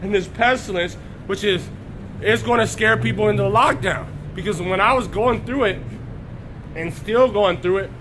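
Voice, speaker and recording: A man preaching on a live stream with a hoarse voice that is recovering from illness. He clears his throat often and greets viewers by name between scripture readings. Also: sits high, normal speed, noisy background. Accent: Texas.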